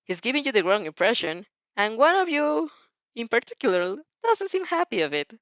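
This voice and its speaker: A woman reading fan fiction aloud as an expressive audio story narrator. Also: on the high side, normal speed, dry recording, dull audio.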